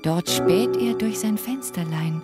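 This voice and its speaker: Radio play princess, voiced by a woman: young, innocent, wistful, unhurried, music behind. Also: medium pitch, natural voice. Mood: wistful, wondering.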